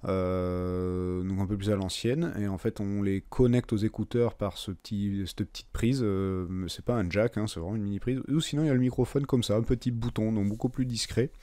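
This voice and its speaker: Male reviewer, soft dark timbre, informal and self-deprecating, chatting through a hands-on demo with the odd mild swear. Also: fairly low pitch, steady tone, moderate pace, few pauses. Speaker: product reviewer. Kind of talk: casual hands-on review chat.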